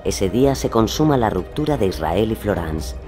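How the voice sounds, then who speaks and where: A man's voice: on the low side, very animated, normal speed, over music. A man narrating a scripted documentary drama.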